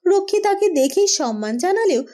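A woman's voice: very high-pitched, sing-song, moderate pace, warm tone. A woman narrating a scripted fairytale as the storyteller.

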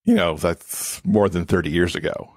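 A man's voice: low voice, moderate pace, darkish tone, dry recording. A man speaking as an expert podcast guest, explaining things in a conversational interview style.

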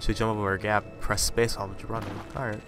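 A man's voice: fairly low pitch, little pitch movement, quick pace, music in the background. A man playing a horror game, swearing and muttering casual asides, still slightly congested from a cold. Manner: profane, jumpy, muttering asides. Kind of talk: casual commentary.

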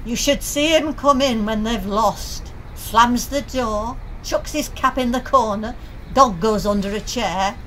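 A woman's voice: a little high, measured pace, fairly bright tone, slight background noise. A woman playing a chatty, practical Northern English working-class wife in a radio play.

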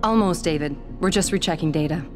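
An actress playing an anxious, earnest research scientist in a video game. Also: female voice, deep voice, quick pace, music in the background, natural voice. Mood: uneasy, earnest. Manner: earnest, uneasy.

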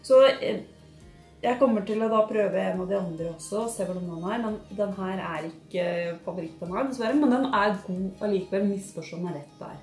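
Young norwegian woman doing a chatty haul vlog, gushy and sighing a lot, roomy echo, bit of music under it. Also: medium pitch. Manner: gushing, expressive, lots of sighs and fillers. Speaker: beauty and lifestyle vlogger. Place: living room at a table, indoors. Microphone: fixed camera at table distance.

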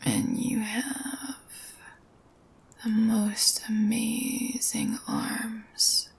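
Woman acting tender girlfriend, very slow whisper. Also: fairly high pitch, level tone, heavily acted. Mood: adoring, playful. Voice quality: soft, breathy whisper. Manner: slow, intimate, lingering delivery with soft hums. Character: tender, adoring girlfriend reassuring her partner. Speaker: ASMR roleplay voice actor. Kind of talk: whispered ASMR girlfriend roleplay.

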